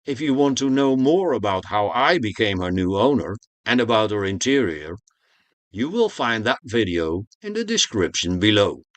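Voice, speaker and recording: A man's voice: fairly low pitch, very animated, moderate pace, no room sound. A man presenting a narrated tour of a motorhome as a vlogger.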